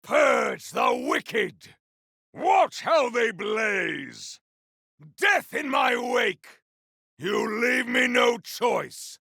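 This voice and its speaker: A man's voice: extremely high pitch, very animated, extremely slow, vocal fry, pushed and bright, slightly rough, no room sound, raised voice, studio quality, exaggerated voice. A man voicing a fierce, grandiose warrior in a video game, barking theatrical battle cries. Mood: fierce, boastful. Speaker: video game combat character.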